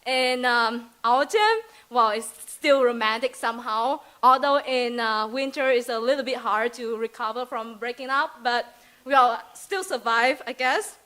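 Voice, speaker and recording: Bright, high-voiced young Vietnamese woman. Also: a little slow. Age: young adult. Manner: chatty, hesitant, giggly, many fillers. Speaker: exchange student presenter. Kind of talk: presentation speech.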